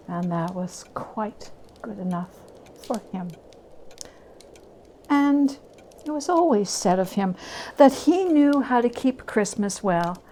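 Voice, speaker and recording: A woman reading a story aloud as a dramatic narration, expressive and deliberate. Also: mid-range voice, slight background noise. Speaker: story reader.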